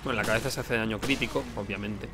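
A man's voice: lowish voice, steady tone, quick pace, over loud music. A man with an accent from Spain gives casual gameplay commentary, informal and sweary, often muttering to himself. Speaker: let's play host.

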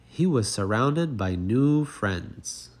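A man narrating a children's picture book as a read-aloud, in an expressive storytelling manner. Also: mid-range voice, slow pace.

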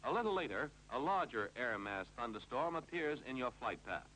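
An American man narrates a 1950s instructional film in a clipped, authoritative way. His voice is bright and forceful, with lively intonation that rises and falls through each instruction. The old film soundtrack adds faint noise and hum. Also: medium pitch, a little fast, low-quality recording.

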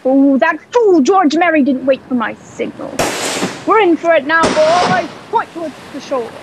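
A woman's voice: sits high, animated, a little slow, background noise. A woman playing a wily, menacing male pirate ringleader in a radio play, with mock-courteous, colloquial pirate speech. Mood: commanding, threatening. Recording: dull, muffled home recording.

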